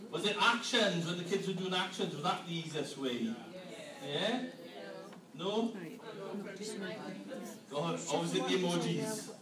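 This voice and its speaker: A man's voice: high voice, far from the mic. A man leading an interactive family sermon in a church hall, playfully quizzing the congregation. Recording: echoey hall, mic from afar, room noise.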